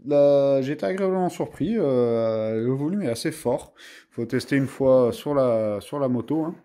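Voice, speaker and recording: A man's voice: medium pitch, normal speed, warm tone. A man giving a casual hands-on product review in an informal, mildly sweary and self-deprecating way.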